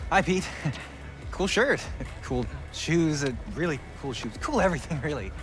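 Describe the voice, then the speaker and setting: A man's voice: fairly high pitch, animated, normal speed, over music, close to an everyday voice, low-quality recording. An actor playing an eager, friendly young man with an awkward, hesitant way of bantering in a video game.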